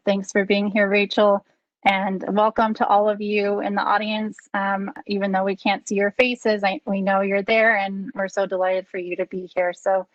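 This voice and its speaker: Woman hosting an online panel, pretty flat delivery, lots of ums, dry muffled call audio. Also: medium pitch, no echo. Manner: hesitant, many ums. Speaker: program director, panel moderator. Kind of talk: webinar moderation. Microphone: video call.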